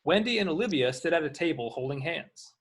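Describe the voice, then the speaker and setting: High-pitched, lively man reading stage directions. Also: fairly quick. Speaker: screen directions reader. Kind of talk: reading script directions aloud.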